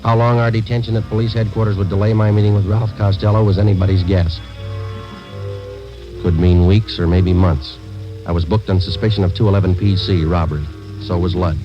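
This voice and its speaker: An American man playing a weary, businesslike undercover police sergeant, speaking in a deadpan, matter-of-fact way in a radio drama. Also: deep voice, fairly quick, natural voice. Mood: flat, matter-of-fact. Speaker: narrator and lead of police drama. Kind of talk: 1950 radio drama, clipped first-person narration. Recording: dull old radio recording, music behind.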